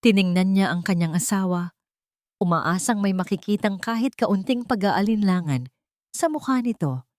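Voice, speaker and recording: A woman's voice: medium pitch, expressive, moderate pace, darkish tone, no echo, studio quality. A woman with a Filipino accent reading a dramatic story as an audiobook narrator.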